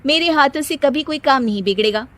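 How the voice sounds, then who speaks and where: A woman voicing a proud, bossy young wife in a cartoon story, boastful and quick to snap back. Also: high voice, expressive, a bit bright. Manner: brash, boastful, snapping back. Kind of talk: animated cartoon story dialogue.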